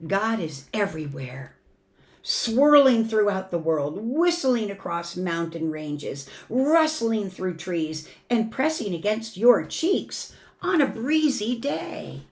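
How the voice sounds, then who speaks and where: Woman, medium pitch, unhurried pace, expressive children's storyteller, deliberate delivery. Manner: expressive, deliberate, shifting between emphatic and hushed. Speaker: church family ministry storyteller. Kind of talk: children's picture book read-aloud.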